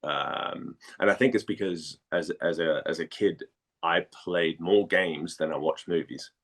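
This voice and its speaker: A man talks casually as an interview guest. He speaks in a halting way, circling back over phrases as he searches for words. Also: deep voice, gravelly, a bit bright. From Denmark. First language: Danish.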